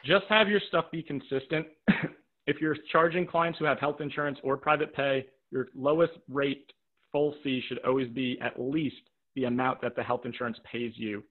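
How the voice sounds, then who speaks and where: A man's voice: medium pitch, fairly even intonation, normal speed. Man, webinar coach, direct and practical, repeats himself for emphasis, voice tiring, thin phone-like audio. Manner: checks in with rhetorical "right?" and "okay?". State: voice tiring from talking all day. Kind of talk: live webinar teaching with audience questions. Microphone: video call microphone. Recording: narrow, tinny video-call audio.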